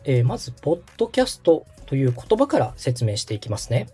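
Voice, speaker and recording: A man's voice: mid-range voice, sing-song, quick pace, dark tone, husky, soft background music. Patient Japanese man explaining podcasts. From Osaka, Japan. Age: 30s. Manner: polite, patient, step-by-step explaining. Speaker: podcast host, radio director.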